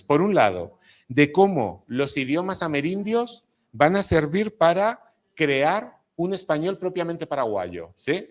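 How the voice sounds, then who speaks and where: A middle-aged man from Spain delivers an academic lecture in a voice of ordinary middle pitch. His accent is Spanish, softened by Argentine features picked up over years. He explains step by step, often pausing to check that his students follow. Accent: Spanish with some Argentine features. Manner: explanatory, with frequent tag questions to the audience.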